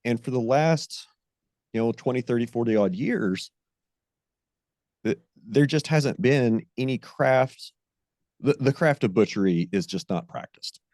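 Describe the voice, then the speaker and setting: A man's voice: mid-range voice, animated, a little mellow, no echo, clean studio sound. A man hosting a ranching podcast, talking in a loose, rambling way and often restarting his phrases. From American. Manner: rambling, repeats and restarts phrases, drawn-out emphasis. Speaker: podcast host. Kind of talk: casual interview chat.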